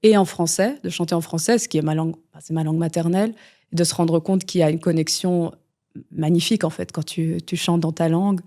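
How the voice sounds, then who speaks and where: Mellow low woman, rambling. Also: fairly even intonation, fast talker. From Belgium. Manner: rambling, many fillers and restarts. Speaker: guest musician. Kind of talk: casual interview talk.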